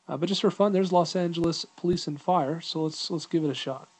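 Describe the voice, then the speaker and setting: A man reviews an app in a casual, unscripted walkthrough. His voice sits a bit higher than average for a man. He explains each step in an informal, easygoing manner. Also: fairly quick, breathy edge. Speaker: app reviewer giving a tutorial.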